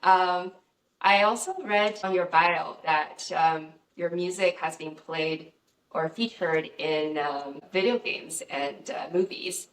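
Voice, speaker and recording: A woman's voice: on the low side, slow, echoey room, distant mic, rough recording. A woman interviewer asking questions over a video call, often hesitating with fillers.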